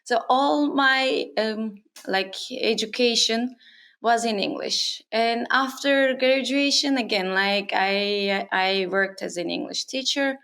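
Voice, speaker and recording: Gravelly-voiced woman, native Turkish speaker. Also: fairly high pitch, slow pace, a bit bright. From Turkey. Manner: thoughtful, with frequent fillers and restarts. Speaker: interview guest, freelancer. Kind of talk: casual interview conversation. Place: her home on an island.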